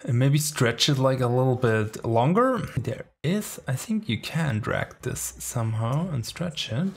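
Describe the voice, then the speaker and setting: A man's voice: medium pitch, big pitch swings, unhurried, creaky, breathy edge. A man in his thirties with a German accent, explaining music production steps in a casual, rambling way and filling gaps with "like". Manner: chatty, rambling, lots of "like" fillers. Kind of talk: casual screen-recorded tutorial. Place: home studio room. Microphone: studio microphone near the face.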